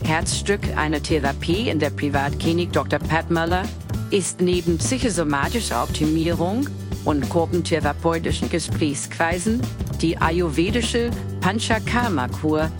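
Lowish woman's polished promo voice-over. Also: moderate pace, music in the background, natural voice. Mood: composed, promotional. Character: polished female clinic promotional video narrator. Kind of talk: scripted advertising narration.

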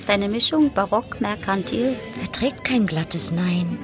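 An actress narrating a German radio play in a clipped, dry, ironic manner. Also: female voice, medium pitch, expressive, a little slow, natural voice. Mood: mocking. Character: wry, ironic female narrator. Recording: music behind the voice.

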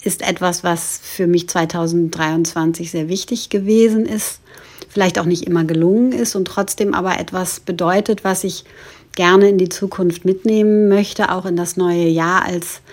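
A woman's voice: fairly low pitch, steady tone, moderate pace, darkish tone. A woman speaking as a guest philosopher, giving a reflective recorded message in long, careful sentences.

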